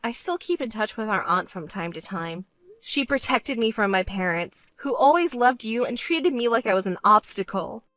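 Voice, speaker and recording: A woman's voice: a little high, lively intonation, moderate pace, fairly bright tone, no room sound. A woman voicing a stern, fed-up sister with a grudge in a dramatized story, cold, firm and scolding. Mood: firm, resentful.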